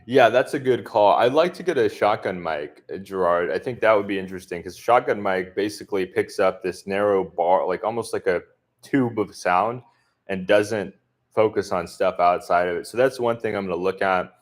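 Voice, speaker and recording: A man from the southern US answering viewers' comments in a relaxed livestream chat, speaking with a deliberately neutral accent and many filler words. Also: deep voice. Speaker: expat vlogger answering live chat. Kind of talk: casual livestream Q&A.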